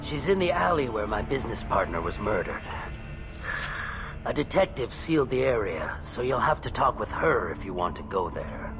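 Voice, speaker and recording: Actor as nervous alien trader, male, for a sci-fi game. Aggrieved, very animated delivery over loud music. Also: medium pitch, normal speed, lightly acted. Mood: wary, grim. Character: anxious, put-upon male alien merchant, complaining. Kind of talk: video game acting.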